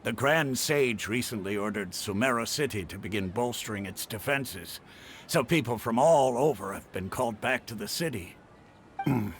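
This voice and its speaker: A man playing an easygoing, seasoned mercenary chief in a video game, gravelly and measured, as if confiding. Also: mid-range voice, animated, a bit bright, a little hiss or hum. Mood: measured, informative.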